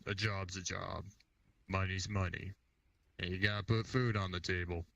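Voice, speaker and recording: A man's voice: deep voice, steady tone, moderate pace, creaky, a bit bright, slight background noise. A man roleplaying a blunt, indifferent mercenary without a name, speaking in terse statements. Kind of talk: in-character improvised roleplay. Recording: dull lo-fi voice chat mic.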